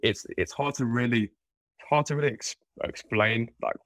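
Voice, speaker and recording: A man's voice: mid-range voice, moderate pace, fairly bright tone, no echo. A man from London with a London accent, a podcast guest talking casually, with many restarts and fillers. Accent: London English. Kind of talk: casual interview chat.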